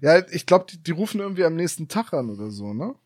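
A man's voice: a little high, sing-song, fast talker, a little mellow, no echo, clean studio sound. A man co-hosting a podcast, asking questions and thinking aloud in casual chat. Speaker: podcast host. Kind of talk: casual chat about an audio drama.

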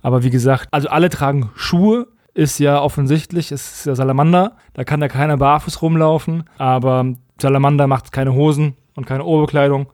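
Wry man, slightly high voice. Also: fairly even intonation, brisk, hardly any pauses, darkish tone, dry recording, clean studio sound. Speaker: podcast co-host. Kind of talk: casual review chat.

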